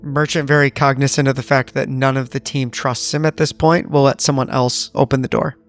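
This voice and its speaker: A man's voice: mid-range voice, little pitch movement, fairly quick, soft background music. A man taking part as a player in a tabletop roleplaying game, chatting casually in and out of character.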